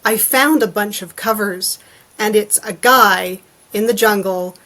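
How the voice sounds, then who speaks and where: A woman's voice: mid-range voice, measured pace, bright, forceful tone. A woman talking casually as a podcast guest, in a conversational, informal way. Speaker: podcast guest, illustrator and author. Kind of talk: casual chat.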